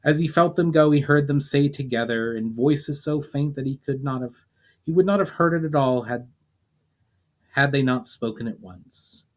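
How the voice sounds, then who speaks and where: A man reading fantasy fiction aloud as a storyteller, in a dramatic audiobook style with measured phrasing that falls away at line ends. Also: medium pitch. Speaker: author reading own fiction aloud, channel host.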